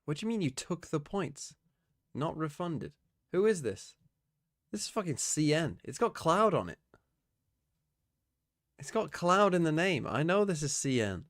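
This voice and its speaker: A man's voice: fairly high pitch, very animated, measured pace, long pauses, no echo, studio quality. A man streaming live, chatting casually and muttering to himself as he plays. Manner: muttering to himself while playing.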